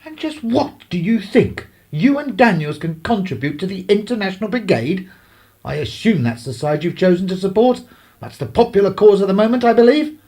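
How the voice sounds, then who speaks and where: A man's voice: high-pitched, lively intonation, normal speed, darkish tone. An actor playing a stern, domineering middle-aged English clergyman father in a radio drama, pompous and scornful. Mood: indignant, scornful.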